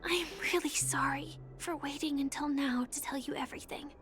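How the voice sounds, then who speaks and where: A woman playing a gentle, earnest young woman in a sci-fi video game, speaking softly and sincerely in measured phrases. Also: high voice, fairly even intonation, faint music behind. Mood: earnest, apologetic. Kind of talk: acted video game dialogue.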